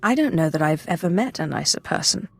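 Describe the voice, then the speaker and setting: A woman's voice: sits low, lively intonation, a little fast, vocal fry, exaggerated voice. A woman playing a composed, restrained young Sith apprentice in a video game. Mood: cool, composed. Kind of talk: dramatic video game acting.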